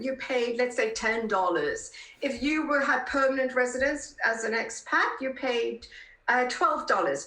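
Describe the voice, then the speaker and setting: A South African woman in her fifties with a high, very bright, forceful voice. She answers interview questions conversationally and with emphatic warmth of feeling. The sound is roomy and echoing. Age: 50s. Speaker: podcast guest, political columnist.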